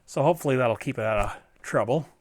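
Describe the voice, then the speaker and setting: A man's voice: medium pitch, quick pace. A man hosting a repair video, talking casually to the viewer and thinking aloud as he works through a hands-on job. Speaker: host of an electronics repair video.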